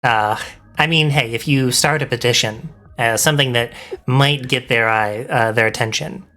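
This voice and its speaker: A man's voice: medium pitch, pushed and bright. A man hosting a casual livestream interview, asking questions in an encouraging, good-humoured manner. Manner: encouraging, quick to laugh. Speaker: interviewer, stream host.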